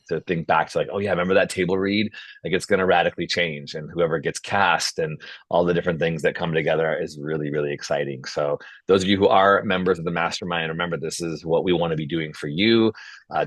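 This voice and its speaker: A man's voice: deep voice, a bit bright, dry recording. A man hosting an online group call in a casual, encouraging and informal way, often dropping "like" into his sentences. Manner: encouraging, informal, peppers talk with "like". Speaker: host of an online filmmakers' meetup. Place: video call. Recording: dull lo-fi video-call audio.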